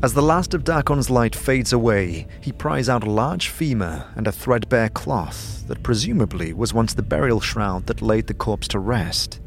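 A man's voice: mid-range voice, expressive, moderate pace, creaky, few pauses. Dramatic male fantasy narrator over music. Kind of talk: scripted second-person fantasy narration.

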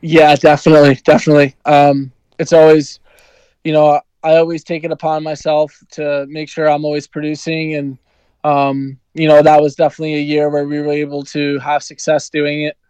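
Young American man, hockey player guest, smooth clear voice, even and flat, easygoing with lots of ums, dry close sound. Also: fairly high pitch, normal speed, no room sound, poor audio. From New Jersey, USA. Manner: easygoing, many ums and you-knows. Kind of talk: casual interview answers.

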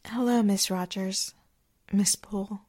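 A woman playing a loyal, earnest wife in a 1940s radio drama, explaining politely in a creaky voice. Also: medium pitch, steady tone, measured pace, dark tone, lightly acted. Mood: earnest, helpful.

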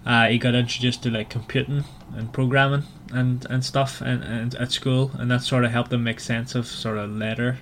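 A man's voice: mid-range voice, level tone, a bit bright. Man chatting, noisy background. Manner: hesitant, halting delivery with repeated false starts. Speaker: podcast host. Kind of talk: casual book club chat.

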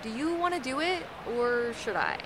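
A woman's voice: high-pitched, big pitch swings, normal speed, noisy background. A woman playing an earnest survivor speaking to her group in an audio drama. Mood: eager.

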